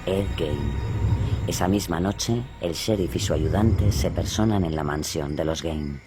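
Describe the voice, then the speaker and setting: Deep-voiced male horror narrator. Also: a little slow, music in the background. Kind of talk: scripted horror radio drama narration.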